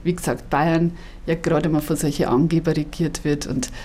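A woman's voice: deep voice, flat delivery, normal speed, dark tone. Chatty Bavarian woman comedian. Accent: Bavarian, Regensburg. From Bavaria, Germany. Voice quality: slightly nasal. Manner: chatty, punchy, self-mocking. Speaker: cabaret comedian. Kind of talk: stand-up set and interview.

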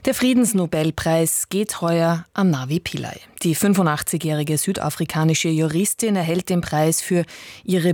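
A woman reading a radio news bulletin in a measured, even way. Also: fairly low pitch, dry recording, studio quality. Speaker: news anchor.

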